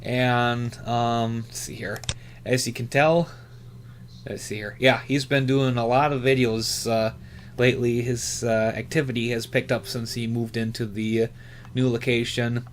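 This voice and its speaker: A man chatting casually and unscripted as a hobby YouTuber, laid-back and rambling, with halting, filler-heavy phrasing. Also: medium pitch, level tone, a little hiss or hum. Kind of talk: casual unscripted chat, reviewing channels.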